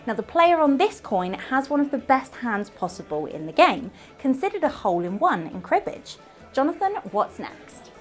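A British woman in her thirties presents a scripted promo to camera. Her voice is slightly high and swings animatedly in pitch, with music behind it. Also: big pitch swings, moderate pace. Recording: clean voice over background music.

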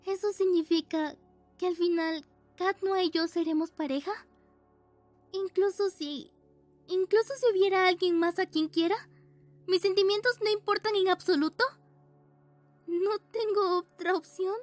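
A woman's voice: very high voice, fairly even intonation, unhurried, long pauses, dry recording, soft background music. A woman playing an earnest, anxious teenage heroine in a fan dub, hesitant, with a Latin American accent.

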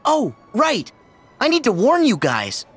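A man's voice: extremely high pitch, wildly swooping pitch, a little slow, a bit bright, breathy edge, heavily acted. A man voicing an earnest, upbeat teenage boy hero in a video game. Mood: upbeat, cautioning. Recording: music under the dialogue.

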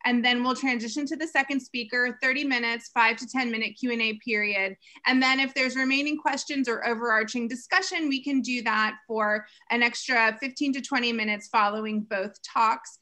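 Bright-voiced woman hosting an online lecture. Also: fairly high pitch, steady tone, moderate pace, few pauses. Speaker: host of an online lecture series. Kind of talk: scripted introduction of speakers. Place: indoor room on a video call.

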